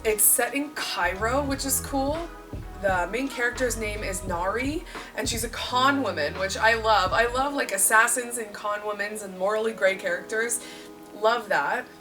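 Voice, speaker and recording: Croaky-voiced woman chatting about books. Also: on the high side, moderate pace. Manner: chatty, self-deprecating, rambling. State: a little croaky after a cold. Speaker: book vlogger. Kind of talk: casual chat to camera. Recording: distant voice with clear music behind.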